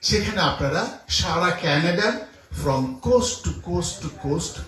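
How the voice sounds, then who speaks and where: Higher-voiced man speaking in echoey room. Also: animated, slow pace, a bit bright. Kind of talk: addressing an audience.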